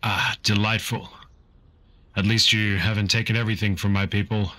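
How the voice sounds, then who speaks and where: A man playing a dry, sardonic robotic talking dog in a cartoon, speaking deadpan. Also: on the low side, moderate pace.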